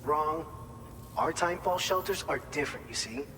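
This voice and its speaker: An upbeat male staffer in a game cutscene, giving briefings in a slightly high voice. He explains things informally and encouragingly, in a long, hall-like reverb with clear noise behind him. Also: animated, normal speed, pushed and bright. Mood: enthusiastic pitch. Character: chatty, upbeat male distribution center staffer briefing a courier. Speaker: briefing officer. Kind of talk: video game cutscene dialogue.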